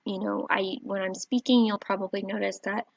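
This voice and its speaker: A soft-spoken woman, a teacher, gently walking viewers through a website on screen, with a warm voice and frequent fillers. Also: medium pitch, steady tone, normal speed, gravelly, breathy edge, no echo. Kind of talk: screen-recorded tutorial walkthrough. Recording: dry, slightly dull home recording.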